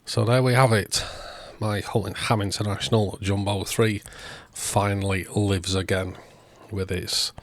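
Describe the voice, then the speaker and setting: A man hosting a repair video, talking through the work step by step in short, unhurried phrases. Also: on the low side, little pitch movement. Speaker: host of electronics repair videos. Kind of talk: narrated repair walkthrough.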